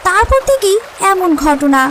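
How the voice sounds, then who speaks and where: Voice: very high voice, big pitch swings, moderate pace, noisy background. A performer voicing a shrewd goat friend in a cartoon, handing out advice in a knowing way. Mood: knowing, advising. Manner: knowing, advising. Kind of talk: cartoon voice acting.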